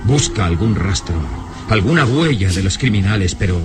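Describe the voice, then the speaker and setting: A man's voice: lowish voice, animated, normal speed, music in the background, close to an everyday voice. A man narrating a radio adventure serial in a grave, literary, suspenseful manner.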